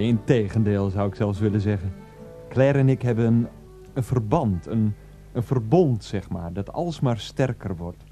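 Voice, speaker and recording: An actor playing an earnest, besotted husband of 39, a rambling and self-important former teacher, in a satirical radio play. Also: male voice, medium pitch, dark tone, close to an everyday voice. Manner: rambling, self-important, hesitant, repeating himself.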